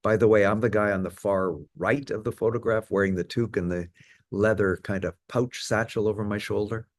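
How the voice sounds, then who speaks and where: A man in his seventies with a Canadian accent, giving a measured prepared talk as guest speaker. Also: fairly low pitch, steady tone, dry recording, studio quality. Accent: Canadian English.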